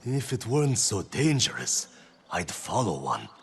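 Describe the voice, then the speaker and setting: A man playing a gentle, wistful villager in a video game, speaking in a dreamy, deferential way. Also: lowish voice, huge pitch swings, a little slow, slight background noise, heavily acted. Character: gentle, wistful male villager longing for peace. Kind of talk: cinematic video game acting.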